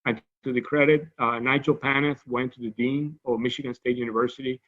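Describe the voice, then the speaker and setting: A man's voice: mid-range voice, fairly even intonation, a little fast, narrow, tinny audio. A man from Cuba with a Cuban Spanish accent, giving a scientific lecture in a conversational way, often restarting his sentences and adding asides. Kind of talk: academic lecture.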